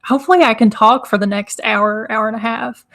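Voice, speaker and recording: A woman hosting a casual live stream, chatty and rambling, with a hoarse, crackly, nasal voice from congestion. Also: fairly high pitch, steady tone, brisk, a bit bright. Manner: chatty, rambling, laughs often. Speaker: host. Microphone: microphone.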